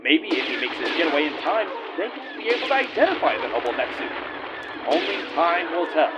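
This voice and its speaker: A man's voice: on the high side, very animated, a little fast, muffled recording, very noisy. A man playing a dramatic serial narrator, delivering scripted space adventure narration full of suspense and rhetorical questions. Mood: suspenseful.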